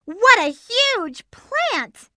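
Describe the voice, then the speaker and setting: A peppy, assertive hedgehog girl from a video game. The voice is high and swings with great animation. It sounds girlish and bold. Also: big pitch swings, very slow, bright, forceful tone, a little hiss or hum, exaggerated voice. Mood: amazed. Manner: perky, assertive. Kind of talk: video game character lines.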